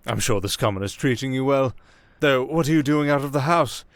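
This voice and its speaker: A man's voice: medium pitch, fairly quick, no room sound, pitched above the performer's own voice, close to an everyday voice. A man voicing a pompous, sneering, drunken young aristocrat fallen from grace, haughty and theatrical, in a roleplay audio drama.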